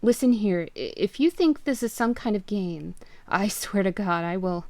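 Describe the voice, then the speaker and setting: Woman reading a scared dad begging on the phone, raspy voice, no room sound. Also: mid-range voice, moderate pace, darkish tone, dry recording, natural voice. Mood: alarmed. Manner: pleading, urgent. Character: frightened husband and father, adult man.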